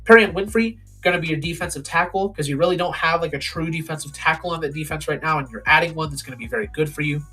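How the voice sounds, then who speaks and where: A young man giving casual, opinionated football draft commentary, his voice hoarse and raspy. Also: fairly high pitch, fast talker, hardly any pauses, pushed and bright, soft background music. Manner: opinionated, plain-spoken with frequent ums.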